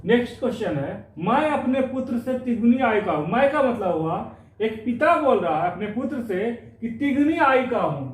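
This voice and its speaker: High-voiced man teaching maths, echoey room. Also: expressive, normal speed, recorded from a distance. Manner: explains step by step, repeats phrases. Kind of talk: exam-prep maths lesson.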